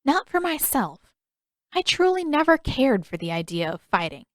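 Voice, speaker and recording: Gentle, high-voiced elderly woman healer, acted by a woman. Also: big pitch swings, dry recording, studio quality. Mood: measured, reflective. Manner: measured, formal, reflective phrasing. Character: elderly female healer, gentle, wistful, thoughtful. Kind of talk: audiobook character dialogue.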